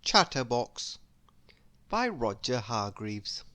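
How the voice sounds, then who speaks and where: Low-pitched, hugely animated woman storyteller. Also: slow pace. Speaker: storybook narrator. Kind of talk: expressive children's read-aloud narration.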